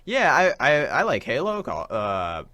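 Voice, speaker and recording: A man's voice: mid-range voice, huge pitch swings, a little slow, bright, forceful tone. A young adult man chatting casually about video games as a talk show co-host, in an eager, rambling, informal way. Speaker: college radio show co-host.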